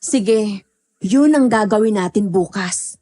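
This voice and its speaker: A woman's voice: medium pitch, slow, dark tone. A woman playing a feisty, chatty country goat herder in an audio horror drama, speaking expressively. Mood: explaining.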